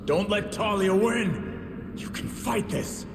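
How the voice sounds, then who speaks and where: A man's voice: high-pitched, lively intonation, slow pace, creaky, heavily acted. An actor playing a young vigilante in a video game cutscene, loyal and earnest, urgently pleading with his mentor. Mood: desperate pleading. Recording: echoey reverberant hall, loud background noise.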